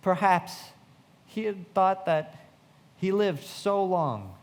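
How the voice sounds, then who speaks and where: Reflective narrator, slightly high voice. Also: male voice, lively intonation, slow pace, exaggerated voice. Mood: reflective, sombre. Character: reflective, loyal young man narrating a tragic memoir. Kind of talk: dramatic scripted narration in live radio play. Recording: reverberant hall.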